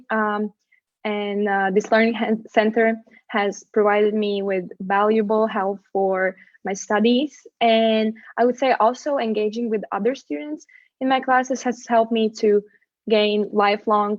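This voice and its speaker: A young woman from Latvia, a student athlete whose first language is Latvian, answering interview questions in a measured, slightly rehearsed way with small restarts. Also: fairly high pitch, no echo, dull audio. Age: young adult.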